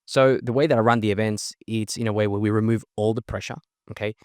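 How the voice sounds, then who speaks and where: A young man whose first language is Spanish, with a mixed Spanish and Australian accent, talking as a podcast guest in an energetic, emphatic way and often ending with "right?". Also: on the low side, no echo, clean studio sound. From Chile. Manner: fast, emphatic, leans on "right?" tags. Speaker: podcast guest, networking event organizer. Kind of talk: energetic casual interview talk.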